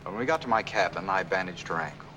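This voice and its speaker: An actor playing an earnest, lovestruck American engineer in a 1940s film noir, speaking in a conversational manner. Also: male voice, low voice, expressive, fast, muffled recording, background noise. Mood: sentimental. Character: earnest, lovestruck male engineer, sentimental and solicitous. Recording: 1940s film soundtrack, faint music.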